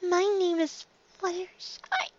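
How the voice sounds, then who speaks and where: A woman voicing a timid young female pegasus pony, speaking in a breathy near-whisper that trails off, on a dull lo-fi recording. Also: high voice, lively intonation, moderate pace, no echo, pitched above the performer's own voice. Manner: hesitant, trailing off, then gushing softly.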